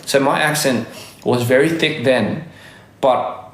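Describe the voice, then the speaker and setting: A man's voice: mid-range voice, slow pace, fairly bright tone, reverberant. A man in his thirties from Australia with a mixed Australian and American accent, chatting casually on a livestream and answering comments, rambling, correcting himself and laughing often. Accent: mixed Australian and American English. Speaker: livestream host.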